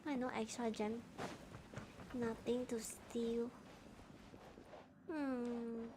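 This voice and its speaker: High-voiced Malaysian woman game streamer. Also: flat delivery, drawn-out words, noisy background. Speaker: livestreamer. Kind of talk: casual game stream commentary. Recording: game music behind voice. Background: game music and dialogue.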